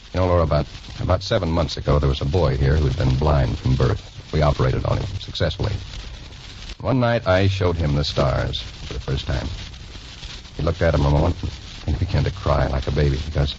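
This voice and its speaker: An actor playing an earnest, dedicated young hospital doctor in a 1940s radio drama. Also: male voice, rumbling low, noisy background. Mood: tender. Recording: dull, lo-fi 1940s radio recording with music.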